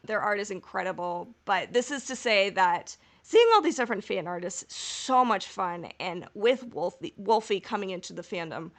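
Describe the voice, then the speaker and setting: A woman's voice: medium pitch, expressive, normal speed, few pauses. A woman co-hosting a casual fan podcast, gushing and repeating words for emphasis. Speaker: podcast co-host.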